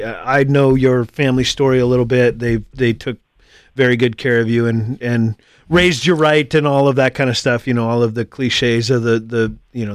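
A man's voice: mid-range voice, clear, resonant tone, no echo. American man hosting, close dry sound. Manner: wordy, halting and rephrasing as he thinks aloud. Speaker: podcast host. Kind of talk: casual conversational interview.

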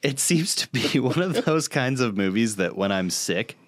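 A man's voice: medium pitch, big pitch swings, moderate pace. A man co-hosting a podcast in casual chat, with a joking, self-deprecating manner. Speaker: podcast co-host.

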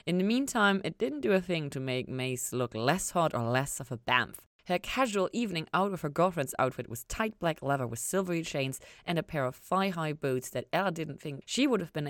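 Woman reading a story, expressive and close. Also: low voice, no room sound. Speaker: reader of a fan fiction podcast.

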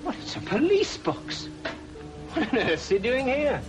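A startled, inquisitive man in an old television drama. His pitch moves around in a lively way. Loud music sits behind the dialogue. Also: measured pace, full character voice. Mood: startled curiosity.

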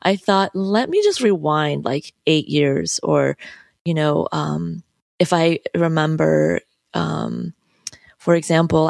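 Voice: mid-range voice, vocal fry, no room sound, studio quality. A novelist in their thirties who grew up in the United States, talking through their work in an interview, thoughtful and loose, with many fillers and asides. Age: 30s. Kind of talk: reflective interview talk.

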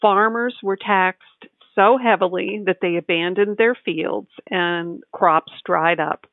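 A woman giving explanatory answers as an interview guest, articulate and measured, speaking in full, well-formed sentences. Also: mid-range voice, fairly even intonation, creaky, dry recording. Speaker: tax advisor, interview guest. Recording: tinny phone-like line, dry.

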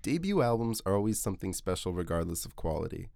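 A man hosting a podcast, reading scripted music-documentary narration in a measured, essay-like way. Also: low voice, big pitch swings, gravelly, background noise. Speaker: podcast host. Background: music under the voice.

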